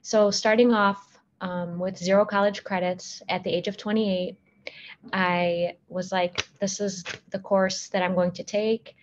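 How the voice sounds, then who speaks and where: A woman in her thirties whose first language is Arabic, earnestly giving a talk on addiction over a video call, often pausing on "um". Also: medium pitch, fairly even intonation, slight background noise. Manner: earnest, with frequent um fillers. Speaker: guest speaker on addiction. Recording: slightly muffled video call audio.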